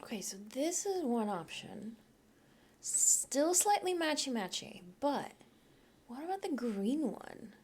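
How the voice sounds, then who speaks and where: A woman's voice: sits high, sing-song, takes it slowly, a little mellow, breathy edge. A young woman from Poland, chatting casually as a YouTuber while she builds in a game, rambling and thinking aloud.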